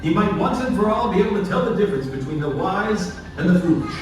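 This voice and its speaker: High-pitched, lively male storybook narrator. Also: fast talker. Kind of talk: scripted storybook narration for puppet show. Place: indoor attraction puppet show, heard from audience distance. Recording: echoey, distant venue with background noise.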